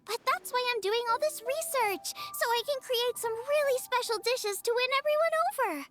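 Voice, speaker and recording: A woman's voice: very high voice, moderate pace. A woman playing a cheerful, eager young girl with an informal, exclamatory way of talking in a video game. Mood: spirited. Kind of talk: video game character dialogue. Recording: music behind the voice.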